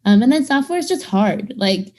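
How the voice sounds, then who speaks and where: A woman's voice: on the high side, lively intonation, normal speed, dark tone. Chatty woman in her thirties, founder. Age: 30s. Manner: chatty, lots of fillers, acts out quoted people. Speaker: startup founder and CEO. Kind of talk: casual interview talk.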